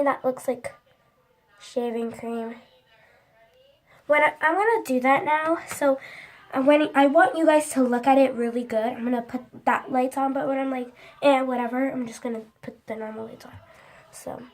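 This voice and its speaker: A woman's voice: high-pitched, moderate pace. A teenage girl vlogging into her phone, rambling with lots of likes and restarts, sounding congested from a runny nose. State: stuffy, congested from a cold. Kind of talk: casual chat to camera.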